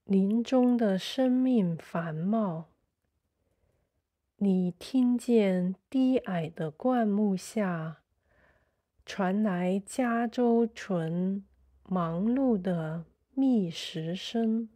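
A woman guides a sleep meditation with a dark, relaxed tone and a medium pitch. Her delivery is extremely unhurried, in short, measured phrases with space between them. The manner is soothing throughout. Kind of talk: slow sleep meditation narration.

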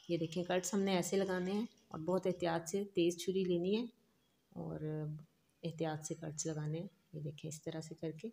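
A woman hosting a home cooking channel, giving calm, instructive step-by-step recipe narration. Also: fairly low pitch. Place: home kitchen.

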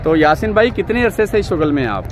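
A man interviewing a trader in a casual outdoor chat, asking brisk questions and agreeing often. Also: fairly high pitch, sing-song. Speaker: interviewer, channel host. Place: outdoor livestock market. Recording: outdoor market with crowd noise. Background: crowd at a busy market.

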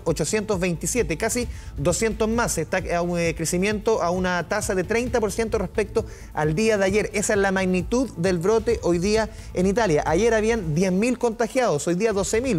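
High-voiced male news anchor. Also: darkish tone. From Chile. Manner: conversational, repeats and restates points. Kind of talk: live studio news commentary.

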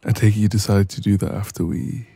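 A man playing a dominant, cocky young ex-boyfriend in an intimate audio roleplay, soft and breathy, smug and casual, close to the microphone. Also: fairly low pitch, steady tone, fast, no echo, faint music behind. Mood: teasing, subdued.

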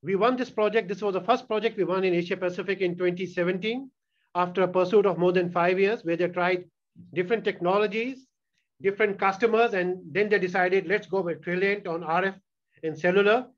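Man, high voice, keynote talk, dull lo-fi webcam audio. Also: fairly quick. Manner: steady, explanatory slide walkthrough with occasional restarts. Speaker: keynote speaker, sales executive. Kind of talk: conference presentation over a video call. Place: indoor room, on video call. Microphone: video call webcam mic.

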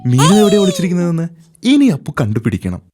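Woman narrator, kids' cartoon storytelling, very lively sing-song delivery, music behind. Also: lowish voice, quick pace. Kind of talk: scripted storybook narration for kids.

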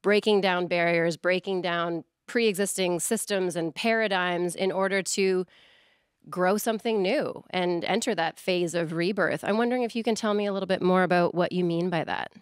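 A woman's voice: on the low side, normal speed, smooth, no room sound, studio quality. A woman hosting a music interview, speaking with effusive praise. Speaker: interview host.

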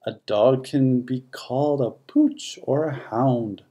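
A narrator reading a rhyming children's picture book in a measured, expressive way. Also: medium pitch. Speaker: audiobook narrator. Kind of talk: scripted children's storybook narration in rhyme.

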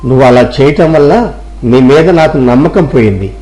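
A stern, authoritative village shop owner, played by a man in a moral tale. The voice is pitched down and soft-edged, dark rather than bright. He talks weightily, with music underneath. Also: animated, brisk, close to an everyday voice, poor audio. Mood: grave, disappointed. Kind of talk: dramatized moral story.

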